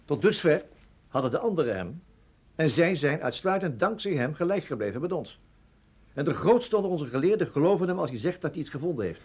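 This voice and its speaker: Husky, grumbling army colonel. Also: male voice, fairly high pitch, sing-song, a little fast, a little mellow. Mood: attentive, skeptical. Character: blunt, irritable male army colonel, grudging and temperamental. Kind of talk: Dutch radio drama acting.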